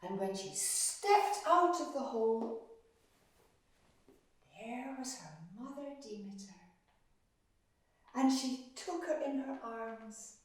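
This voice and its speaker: Female children's storyteller, deliberate and dramatic with long pauses, heard from a distance in a very reverberant room. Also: high-pitched. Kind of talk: oral myth storytelling for children. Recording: very echoey room, distant microphone.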